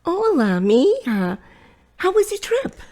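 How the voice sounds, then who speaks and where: Woman acting a fussing mother, swooping, creaky voice. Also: extremely high pitch, wildly swooping pitch, slow pace, mellow, heavily acted. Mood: fussing, curious. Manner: fussing, maternal, probing. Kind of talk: audio drama acting.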